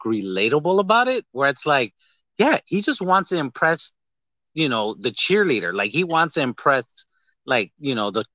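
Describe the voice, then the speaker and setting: A man's voice: a little high, bright, forceful tone, no echo. A man chatting casually as a podcast host, rambling, repeating phrases and trailing off. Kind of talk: casual chat.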